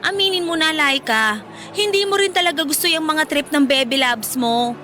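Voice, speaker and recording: Very high, bright, blunt Filipina friend. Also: female voice, normal speed, faint music behind. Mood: nagging, concerned. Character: blunt, protective young best friend, scolding woman. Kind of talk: Filipino radio drama acting.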